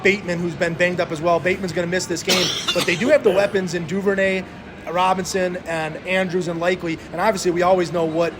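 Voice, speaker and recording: High-voiced man talking football odds. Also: fairly even intonation, quick pace, noisy background. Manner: conversational with frequent "um" and "uh" hesitations. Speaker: sports betting podcast co-host. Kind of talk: casual sports betting talk.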